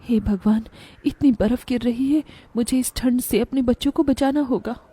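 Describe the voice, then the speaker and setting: A woman's voice: on the high side, moderate pace, mellow, slightly rough. A woman voicing a poor, hardworking mother in a cartoon story, loving and earnest, with an emotional delivery. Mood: alarmed.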